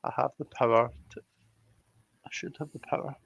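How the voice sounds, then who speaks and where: A man's voice: mid-range voice, steady tone, normal speed, vocal fry, a bit bright, dry recording. A man hosting a live tarot reading in a casual, conversational style. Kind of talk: casual livestream talk. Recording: dull, muffled lo-fi livestream audio.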